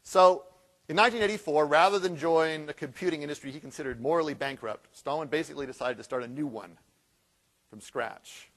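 A man giving a conference keynote, telling a story with rhetorical questions and lively asides. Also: a little high, a little fast. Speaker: keynote speaker.

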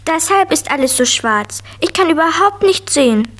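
A woman's voice: very high voice, lively intonation, moderate pace, fairly bright tone. A woman playing a curious, eager preschool girl in a children's radio play, speaking in a naive, candid way. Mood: puzzled.

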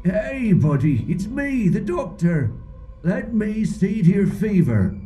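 A man's voice: sits high, very animated, slow pace, warm tone, faint music behind, voice pushed up, full character voice. A man voicing a falsely friendly ship's doctor, coaxing and reassuring, in a horror ASMR roleplay. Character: high-voiced friendly ship's doctor, a monster's coaxing disguise. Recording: close binaural recording with background noise.

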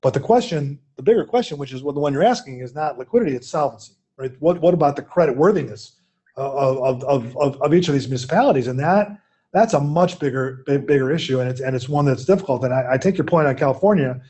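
A man's voice: medium pitch, expressive, fast talker, mellow, husky. An older man, an investment firm executive, answering questions in a keynote conversation over a video call from his office. He talks thoughtfully and often repeats words while he searches for the next phrase. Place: office at home.

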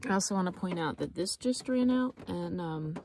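A woman in her thirties chatting casually in a cooking vlog, relaxed and rambling, thinking aloud as she goes, filmed on a phone at arm's length inside a parked car. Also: fairly low pitch, moderate pace. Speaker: vlogger. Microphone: phone camera at arm's length. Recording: noisy car interior.

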